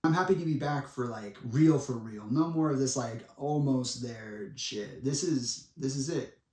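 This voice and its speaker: A man in his twenties giving a monologue to viewers in a dramatic, deliberate way, with pauses and blunt asides. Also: medium pitch, darkish tone. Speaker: speaker making a personal public statement. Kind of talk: semi-prepared monologue addressed to viewers. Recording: echoey room, distant microphone.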